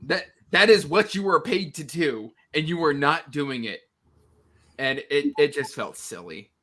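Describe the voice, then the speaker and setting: A man's voice: a little high, expressive, unhurried, fairly bright tone. A man in a casual book discussion, speaking with exasperated emphasis and stammering, repeated restarts.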